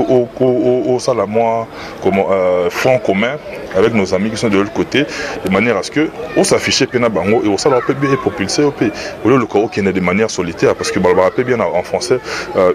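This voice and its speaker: Low-voiced interviewer over street noise. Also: male voice, quick pace. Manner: long winding questions with fillers. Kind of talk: interview questions.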